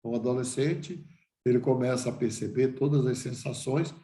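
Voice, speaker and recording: A man's voice: medium pitch, moderate pace, warm tone, echoey room. An older man giving a reflective lecture to a class, asking himself questions and restarting phrases.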